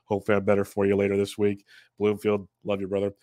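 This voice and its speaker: A congested man talks through daily fantasy picks in a low, flat voice. His delivery is loose and chatty, full of "uh" and "um." The sound is dry and close, with no room around it. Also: fast, no echo. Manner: conversational, answers live chat, many fillers. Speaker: fantasy sports analyst, show host. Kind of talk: casual solo sports betting preview.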